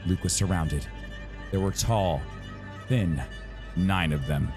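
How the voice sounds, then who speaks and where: A man reading a story aloud as a dramatic narrator, with suspenseful, deliberate phrasing and pauses. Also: deep voice, music in the background. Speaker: narrator reading a novel excerpt. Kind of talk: dramatic audiobook-style narration.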